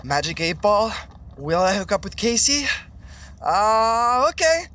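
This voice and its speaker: A man's voice: high-pitched, big pitch swings, unhurried, sharp tone, heavily acted. An actor playing Michael, a nervous young man fretting over texting a girl he likes in a podcast sitcom. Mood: eager.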